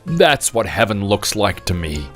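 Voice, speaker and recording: A man's voice: lowish voice, big pitch swings, a little slow, fairly bright tone. A man plays a tired old father near death in an audio drama. He confides in a resigned, plaintive way, bitter yet at peace. Faint music plays behind him. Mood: weary, resigned. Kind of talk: dramatic dialogue.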